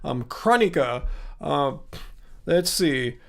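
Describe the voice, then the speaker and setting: Host, male, middle-aged, high-pitched, rambling casual chat reading comments. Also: lively intonation, takes it slowly, vocal fry, a bit bright. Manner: chatty, rambling, many fillers and restarts. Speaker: livestream host answering chat.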